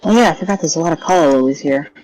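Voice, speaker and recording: A woman's voice: low-pitched, rapid-fire, darkish tone, poor audio. A woman giving casual, playful gameplay commentary, exclaiming and talking to herself as she goes. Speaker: gaming streamer. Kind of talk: casual game playthrough commentary. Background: video game music.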